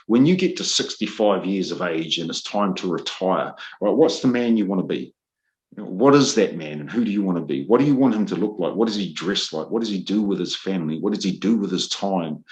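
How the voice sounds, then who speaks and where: A middle-aged man with a New Zealand accent, a guest on a podcast, speaking earnestly and pausing with frequent ums and uhs. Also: deep voice. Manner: earnest, searching for words with many ums and uhs. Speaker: guest, men's leadership mentor. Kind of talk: podcast interview.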